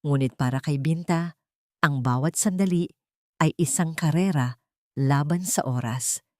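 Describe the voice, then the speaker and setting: A woman reading a scripted dramatic story in a measured, solemn, suspenseful way. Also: low voice, no room sound, clean studio sound. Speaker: narrator of audio drama stories.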